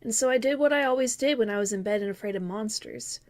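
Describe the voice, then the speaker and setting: A woman's voice: fairly high pitch, fast, lightly acted. A woman playing a grown-up wistfully recalling childhood, narrating a scripted first-person story in an earnest, reflective way.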